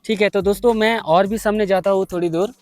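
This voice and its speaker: High-pitched man vlogging casually. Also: steady tone, fast talker, soft background music. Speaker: video host. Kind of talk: casual product field-test vlog.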